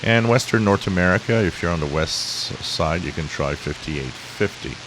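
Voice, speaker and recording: A man giving a casual tutorial as a hobbyist, thinking aloud in an unhurried way. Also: low-pitched, lively intonation, background noise. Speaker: shortwave radio hobbyist presenting a tutorial. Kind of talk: casual explanatory screen-share tutorial.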